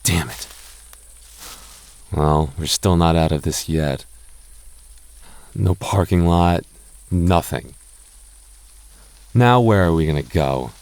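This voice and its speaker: A man's voice: rumbling low, expressive, takes it slowly, long pauses, darkish tone, full character voice. A young man voicing a goofy, doting goth boyfriend in an ASMR roleplay, talking in a stammering, self-teasing way with frequent laughs. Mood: uneasy. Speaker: voice actor.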